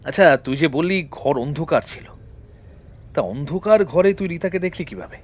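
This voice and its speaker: An actor playing a shrewd, suspicious lawyer-detective in an audio drama, questioning in a measured, deliberate way. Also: male voice, on the high side, expressive. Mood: probing.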